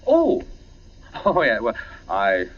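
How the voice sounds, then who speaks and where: Lively, hesitant radio-drama husband. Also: male voice, mid-range voice, slow pace, a little hiss or hum. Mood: evasive. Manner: hesitant, casual. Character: affectionate, evasive, slightly distracted husband. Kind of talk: 1940s radio acting. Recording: muffled 1940s radio broadcast.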